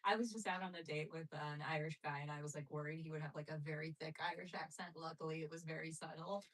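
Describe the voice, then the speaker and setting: A woman's voice: sits low, steady tone, fast, a bit bright, recorded from a distance, low-quality recording. A woman who grew up speaking Russian, chatting candidly on a podcast as a comedian host, swearing and laughing often. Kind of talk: casual podcast chat.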